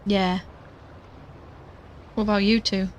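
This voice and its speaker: An actress playing a worried, determined young podcaster in an audio drama, earnest and conversational. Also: female voice, medium pitch, flat delivery, slow pace, highs cut off, a little hiss or hum, natural voice. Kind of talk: audio drama dialogue and recorded narration.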